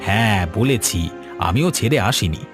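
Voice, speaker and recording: A man's voice: fairly low pitch, animated, normal speed, faint music behind, lightly acted. A man voicing a good-natured village father and husband in a cartoon, with a colloquial, placating manner. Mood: chatty. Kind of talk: Bengali cartoon story dubbing.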